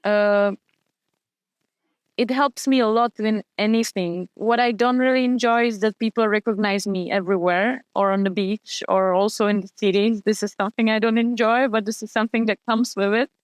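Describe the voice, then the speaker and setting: A young woman answers interview questions in a smooth, clear voice recorded dry and close. She talks at an average pitch and often stops to restart her sentences. Her delivery is hesitant and full of fillers. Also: steady tone, moderate pace, dry recording. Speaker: guest, wing foil and kiteboard athlete.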